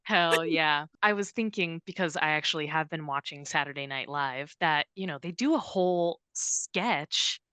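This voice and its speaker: A woman's voice: low-pitched, normal speed, hardly any pauses, pushed and bright, clear, resonant tone, no room sound. A woman co-hosting a casual comedic book chat, playful and jokey, with some swearing. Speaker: podcast co-host.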